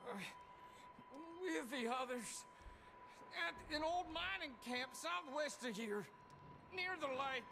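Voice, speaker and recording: An actor playing a frightened, pleading outlaw captive in a video game cutscene. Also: male voice, very high voice, lively intonation, slow, vocal fry, long pauses, heavily acted. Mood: scared. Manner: hesitant, fearful. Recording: noisy ambience behind dialogue.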